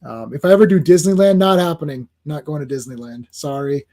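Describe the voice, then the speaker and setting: A man's voice: a little high, a little fast, dark tone. A man hosting a casual comics livestream, chatting through a comic and greeting viewers in the chat.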